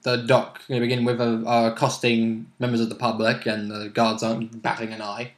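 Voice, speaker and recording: A man's voice: mid-range voice, monotone, moderate pace. A British man who plays in a tabletop role-playing game, chatting casually in a wry, irreverent way. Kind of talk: casual chat.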